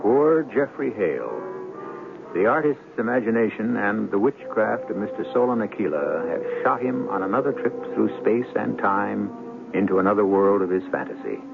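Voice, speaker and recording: A man hosting and narrating a radio mystery in a gravelly, theatrical and ominous, deliberate manner. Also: lowish voice, lively intonation. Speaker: host and narrator. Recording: tinny old radio broadcast. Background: music.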